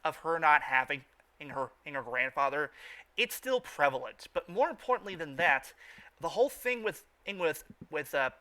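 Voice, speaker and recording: A man hosting a casual podcast, talking off the cuff in a halting way with stammered, repeated words. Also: on the high side, animated, pushed and bright. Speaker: podcast host. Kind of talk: casual unscripted podcast chat.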